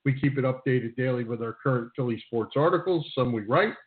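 A man's voice: medium pitch, a little fast. A man hosting a sports radio show in a conversational style. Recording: narrow phone-like sound.

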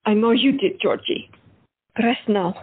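A woman's voice: mid-range voice, measured pace, husky. A woman playing Stella, a caring but flustered doctor in 1917, speaking emotionally to patients and relatives. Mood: tender. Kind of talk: amateur audio drama acting. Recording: tinny phone-like sound, faint music.

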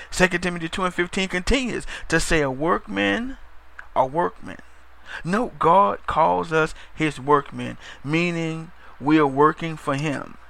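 A man's voice: a little high, creaky, fairly bright tone. A man preaching a broadcast sermon, emphatic and repetitive, asking "Amen?" and sometimes stumbling over words or speeding up. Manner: emphatic, repeats phrases, call-and-response "Amen?"; stumbles over words, drifts into fast talk.

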